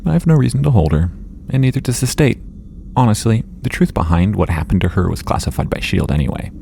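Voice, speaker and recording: An actor playing a calm, accommodating scientist in an audio drama, courteous and matter-of-fact. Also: male voice, lowish voice, fairly quick, creaky, slight background noise. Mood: calm, helpful.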